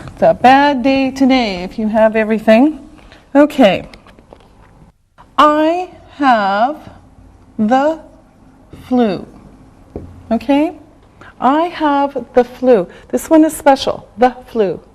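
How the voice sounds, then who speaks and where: A woman teaching a classroom vocabulary lesson, saying each phrase slowly and repeating it. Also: high voice, darkish tone. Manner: slow, drawn-out repetition of words. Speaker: English teacher.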